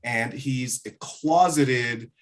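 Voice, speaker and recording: A man with a medium-pitched voice talks as a director being interviewed. His phrasing is expressive and emphatic, in a conversational style. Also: drawn-out words.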